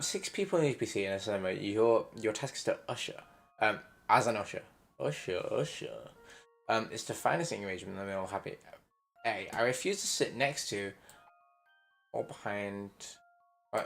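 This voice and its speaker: A man's voice: on the low side, lively intonation, normal speed, vocal fry, pauses often, fairly bright tone, faint music behind. A man playing a puzzle game and commenting, half muttering as he reads the puzzle text aloud. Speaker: game player. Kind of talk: let's play commentary.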